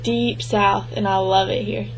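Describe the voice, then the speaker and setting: A woman voicing the spoken interpretation of a signed interview, speaking in an even, measured way with frequent restarts. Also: medium pitch, a little hiss or hum, rough recording. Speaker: sign language interpreter voicing a deaf man's answers.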